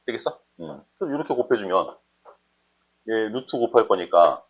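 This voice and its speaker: Husky male math teacher, lively pitch. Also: normal speed. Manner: conversational, often checks understanding with short questions. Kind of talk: informal explanatory math lesson, thinking aloud.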